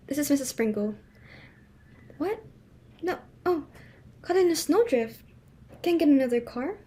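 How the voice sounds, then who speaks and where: A woman's voice: sits high, mellow. A woman playing a fussy, snobbish middle-aged society hostess and mother in a play reading, with a theatrical, exclamatory, fretful manner. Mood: falsely sorrowful.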